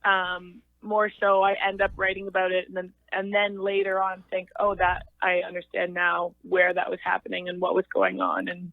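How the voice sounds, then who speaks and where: A young woman with a naturally low voice talks through an interview, pausing and restarting as she thinks aloud. She comes through a tinny, phone-like line that sounds very dry and close. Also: no room sound. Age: young adult. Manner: thoughtful, halting, many fillers and restarts. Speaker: singer-songwriter guest. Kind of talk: casual interview chat.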